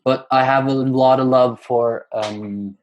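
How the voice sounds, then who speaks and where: A young man from Norway, in his twenties, talks in an interview. He picks his words slowly, with lots of fillers and false starts. His pitch sits in a middle range. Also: steady tone, dull audio. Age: 20s. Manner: hesitant, many fillers and restarts. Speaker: guest poet. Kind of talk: casual interview.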